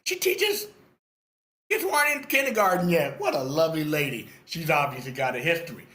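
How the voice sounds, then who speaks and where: A man giving animated, informal feedback on a screenplay, theatrical and emphatic, repeating phrases for effect. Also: on the high side, brisk, echoey room, a little hiss or hum. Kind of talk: animated, informal script critique. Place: online video meeting.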